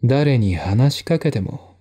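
Guy reading a mystery novel, measured dramatic narration, slow n dark mellow voice. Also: mid-range voice, animated, slightly rough. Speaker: narrator and reader.

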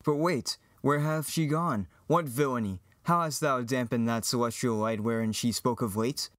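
A man's voice: medium pitch, moderate pace, fairly bright tone, no room sound, studio quality, lightly acted. A man playing an earnest, lovestruck young farm boy hero, declaiming verse in an amateur Shakespearean reading. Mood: indignant.

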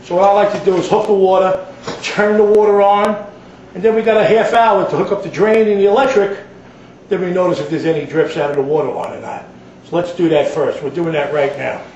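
A man's voice: sits high, fairly quick, a little mellow. A man giving a plain-spoken, step-by-step hands-on plumbing demonstration as an instructor. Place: indoors in a home kitchen. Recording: roomy echo, distant mic, dull lo-fi, noisy.

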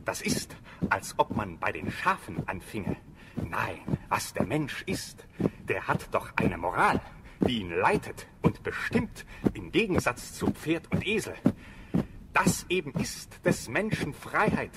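Slow, swooping pompous moralizer. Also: male voice, medium pitch, big pitch swings. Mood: pompous, lecturing. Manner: pompous, lecturing, emphatic. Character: pompous moralizing philosopher among soldiers. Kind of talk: German radio drama acting. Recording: loud background noise, clicks.

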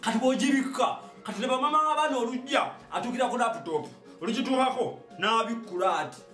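A young man with a Ugandan accent and a very high voice hosts an informal comic monologue. He is animated, exclaims often and repeats his phrases, picked up from a distance with music behind him. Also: fast. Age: young adult. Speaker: host of an online TV show. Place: small indoor studio with padded backdrop. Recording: roomy echo, distant microphone, music behind.

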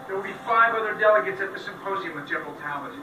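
A man giving a brisk mission briefing in a TV drama, heard playing in the room. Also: high voice, distant mic, background noise. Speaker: character on a TV show. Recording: TV in a roomy space heard from afar, with music.

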